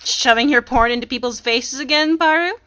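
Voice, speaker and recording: A woman's voice: high voice, a little fast, heavy background noise, close to an everyday voice. A woman voicing a brash, hot-tempered, combative teenage schoolgirl in a comedic anime parody. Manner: snappy, combative.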